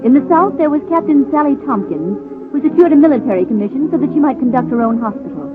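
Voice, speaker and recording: A woman's voice: sits high, expressive, fast talker. Woman narrator on tinny old radio. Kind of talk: dramatic scripted radio narration, 1930s-40s. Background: music.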